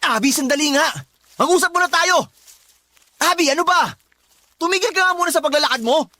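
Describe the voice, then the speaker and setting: A young man voicing a smooth-talking, manipulative suitor in a radio love story. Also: very high voice, brisk, sharp tone, dry recording, full character voice. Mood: demanding. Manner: charming at first, then demanding. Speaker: suitor Dennis. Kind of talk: radio drama acting.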